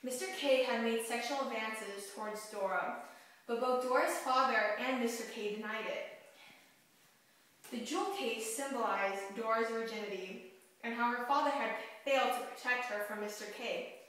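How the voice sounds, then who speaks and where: A woman's voice: on the high side, normal speed, fairly bright tone. A woman narrating a scripted newscast. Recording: very echoey, distant mic.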